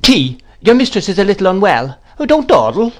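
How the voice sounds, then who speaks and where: Man voicing an imperious, high-pitched elderly great-aunt. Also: big pitch swings, moderate pace. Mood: indignant, bossy. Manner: indignant, commanding, emphatic. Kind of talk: theatrical audiobook character voice.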